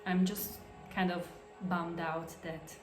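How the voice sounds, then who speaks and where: A woman with an Italian accent, a native Italian speaker, hosting a casual crafts podcast and showing her projects in halting English full of fillers and restarts. She records at home. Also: fairly low pitch, level tone, background noise. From Italy. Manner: hesitant, halting, many fillers and self-corrections. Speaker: podcast host. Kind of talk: casual show-and-tell of crafts. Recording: echoey room.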